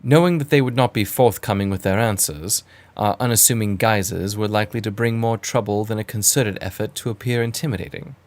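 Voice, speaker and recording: A man playing a genteel adventurer who narrates his own period tale in formal, measured, literary phrasing. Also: lowish voice, close to an everyday voice. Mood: measured, grave. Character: male first-person narrator, genteel adventurer recounting a kidnapping case. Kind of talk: scripted period-story narration.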